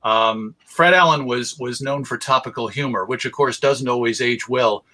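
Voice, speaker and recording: An American man giving an informal online talk as a presenter, halting, courteous and drily humorous. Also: mid-range voice, sharp tone. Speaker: presenter of a radio history talk. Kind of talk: informal lecture to an online audience.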